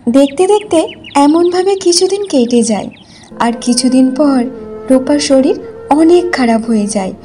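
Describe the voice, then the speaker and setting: A woman's voice: sits high, animated, a little slow, warm tone, faint music behind. A woman narrating a scripted children's folk tale as a storyteller.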